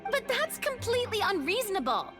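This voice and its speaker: A woman's voice: very high-pitched, very animated, fairly quick. An actress playing an earnest, thoughtful young woman adventurer in a fantasy game. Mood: indignant. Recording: background music.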